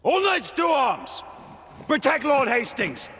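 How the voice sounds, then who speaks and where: An actor playing an authoritative, resolute senior knight with a British accent and formal manner in a video game drama. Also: male voice, very high voice, sing-song, slow pace, fairly bright tone, long reverb tail, heavily acted. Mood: commanding. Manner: formal, commanding.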